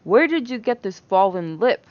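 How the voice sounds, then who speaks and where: A woman's voice: medium pitch, very animated, normal speed, no room sound, highs cut off, lightly acted. A woman voicing a blunt, appalled young royal bridegroom in a storybook reading.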